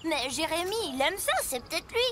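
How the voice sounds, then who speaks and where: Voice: sits high, animated, fairly quick, background noise. A performer voicing a small, eager, childlike talking truck in a French children's cartoon, with a playful delivery. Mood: squabbling. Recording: music bed behind the voice.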